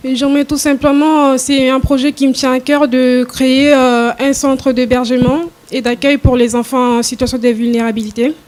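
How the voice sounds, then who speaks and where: A young woman answers pageant jury questions in a flat voice. She hesitates, stammers and sounds unsure. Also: high-pitched, normal speed, few pauses. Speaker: pageant contestant. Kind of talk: casting interview answers.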